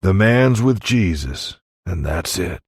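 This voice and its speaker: Man acting a deep, grim Old West sheriff. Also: lively intonation, breathy edge, dry recording, studio quality, exaggerated voice. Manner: slow, folksy, blunt.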